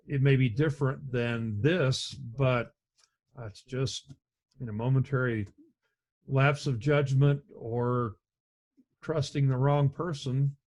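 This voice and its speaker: A middle-aged man with a deep voice teaching an EMS lecture over a video call, speaking in a measured, conversational way with frequent restarts. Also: a little mellow. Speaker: EMS instructor. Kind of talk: recorded slide lecture. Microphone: webcam and computer mic on a video call.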